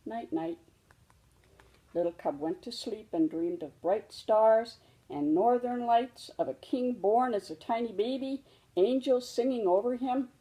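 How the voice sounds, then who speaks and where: Female storybook reader for young children, gentle and mellow, taking her time over each phrase. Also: fairly high pitch, measured pace, rough recording. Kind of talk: children's read-aloud narration.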